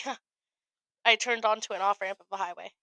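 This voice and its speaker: A woman's voice: medium pitch, moderate pace, dry recording. A young American woman co-hosting a podcast, telling a story in casual chat and acting out quotes with some swearing. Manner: animated retelling with acted-out quotes, frequent profanity. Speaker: podcast co-host.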